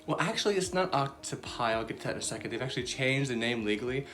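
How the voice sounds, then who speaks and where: A woman's voice: rumbling low, animated, quick pace. A woman hosting a casual Bible lesson for young children, chatty and bubbly, with many fillers. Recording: soft background music.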